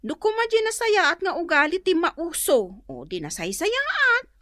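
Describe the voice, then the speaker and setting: A woman playing a gentle, long-suffering young wife in an Ilocano radio drama, with a husky voice. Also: very high-pitched, big pitch swings, a little slow, no echo, studio quality, exaggerated voice. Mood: plaintive.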